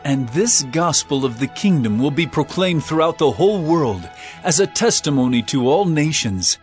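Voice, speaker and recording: Man playing solemn holy teacher, earnest, declamatory, fairly high male voice, lively pitch swings, music behind, audio Bible drama. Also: normal speed, lightly acted. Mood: solemn warning.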